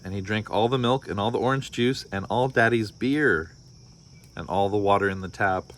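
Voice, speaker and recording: American male storybook reader, fairly low voice, drily joking asides, slight noise behind. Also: moderate pace, fairly bright tone. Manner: wry, joking commentary. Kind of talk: children's story read-aloud with wry casual asides.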